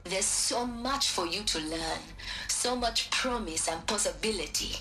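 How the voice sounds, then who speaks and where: An actress playing an encouraging virtual schoolteacher in a video game, talking as if to a small child. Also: female voice, medium pitch, pushed and bright. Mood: welcoming, upbeat. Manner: slow, encouraging, addressing a child.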